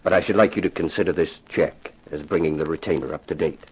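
A man's voice: extremely low pitch, slightly rough, poor audio. An actor playing a grave, formal, wealthy father hiring a detective in a 1950s radio drama. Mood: earnest, formal. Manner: formal, measured, solemn phrasing.

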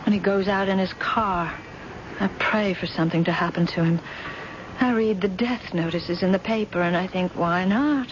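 A woman's voice: lowish voice, normal speed, natural voice. Woman acting a bitter scheming wife, intense and theatrical, hissy muffled old radio drama. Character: bitter, scheming wife who loathes her husband.

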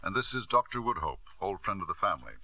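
An actor playing a domineering, middle-aged British lord in a 1950s radio drama, polished and insistent. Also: male voice, low voice, big pitch swings, fast talker, pushed and bright, no echo, heavily acted. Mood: smooth, businesslike.

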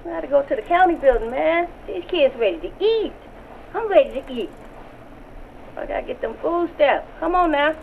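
A woman acts as an exasperated mother leaving a voicemail on a rap album skit. Her high voice nags and scolds her teenage son impatiently. It comes through a dull, lo-fi phone filter with noise behind it. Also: lively intonation, normal speed, creaky. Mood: impatient scolding. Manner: scolding, colloquial, nagging.

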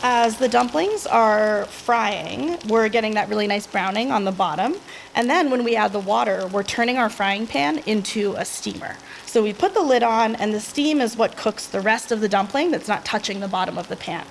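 A woman from the Boston area talks casually as a restaurant owner. Her voice sits at an ordinary middle pitch. She explains things in an upbeat way and often pauses on an um. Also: vocal fry, slight background noise, low-quality recording. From Boston area, United States. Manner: explanatory, upbeat, with many filler ums. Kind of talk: casual conversation and cooking demo.

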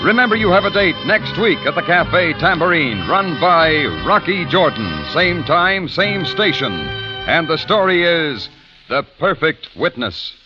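A man's voice: a little high, very animated, unhurried. Polished man announcing on old radio. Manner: polished and emphatic. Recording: dull old radio recording with music.

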